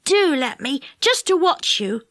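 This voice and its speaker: Woman as excitable young boy, storybook, very high shrill voice, urgent, pleading. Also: a little slow, no echo, clean studio sound, exaggerated voice. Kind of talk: children's storybook character reading.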